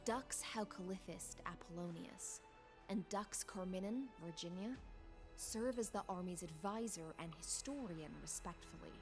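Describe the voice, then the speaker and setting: A woman voicing a proud swordfish knight commander in a game, speaking in a formal, measured, courtly way. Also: fairly low pitch, over music, natural voice.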